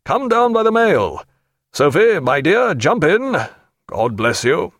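A man voicing a brisk, commanding naval captain. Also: fairly high pitch, very animated, a bit bright, no room sound, studio quality, pitched above the performer's own voice, full character voice.

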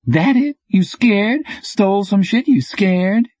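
A man's voice: sits high, lively intonation, slow, a little mellow, highs cut off, pitched above the performer's own voice, exaggerated voice. A man voicing a brash, teasing bike courier with slangy, clipped, needling talk in an audiobook. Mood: probing. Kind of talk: audiobook character dialogue.

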